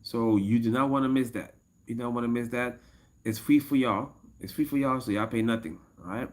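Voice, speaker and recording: A man in his early fifties chats loosely with his live audience, looping back over the same points and breaking into laughs. He talks like a coach promoting his next class, relaxed and unscripted, at an ordinary middle pitch for a man. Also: a little fast. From United States. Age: 50s. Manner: rambling, repeats himself, laughs often. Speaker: MMA fighter and coach streaming live. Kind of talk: casual livestream chat.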